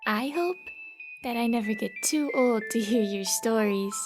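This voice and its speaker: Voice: mid-range voice, unhurried, music in the background, lightly acted. A performer playing a sweet, pleading child at bedtime in a horror audio drama.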